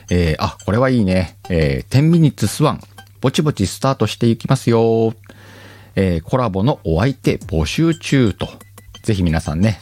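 A man's voice: deep voice, dark tone. A man hosting a casual solo talk show, reading listener letters aloud in a chatty, self-mocking way with many fillers. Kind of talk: casual solo radio-style chat. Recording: faint background music.

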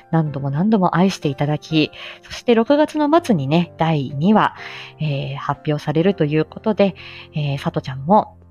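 Lively low-voiced woman chatting on a livestream. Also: dull audio. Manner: playful, chatty, self-deprecating. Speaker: livestream host. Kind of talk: casual livestream chat.

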